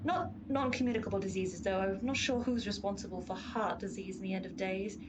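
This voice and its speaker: A woman's voice: mid-range voice, fairly even intonation, fairly quick, background noise, lightly acted. A woman playing a pompous, long-suffering horse of Pestilence in a comic radio play, lecturing and exasperated. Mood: brisk, pompous. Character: haughty, long-suffering female horse of Pestilence, bossy explainer.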